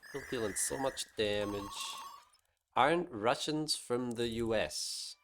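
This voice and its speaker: A man's voice: medium pitch, takes it slowly, noisy background. A man streaming a game live, chatting casually to his viewers and musing aloud to himself. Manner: talks to chat and muses aloud to himself.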